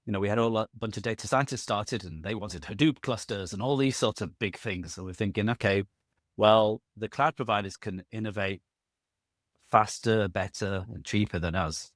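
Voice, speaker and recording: Rambling man, low voice. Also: normal speed, no echo, studio quality. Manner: rambling, self-correcting, jokey asides. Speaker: podcast guest. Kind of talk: casual conversational interview.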